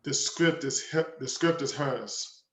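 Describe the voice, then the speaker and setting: A man's voice: medium pitch, slow pace, natural voice. A man playing a scornful senior nun in her late forties, disdainful, in a dramatic reading. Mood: grudging. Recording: echoey room, faint noise.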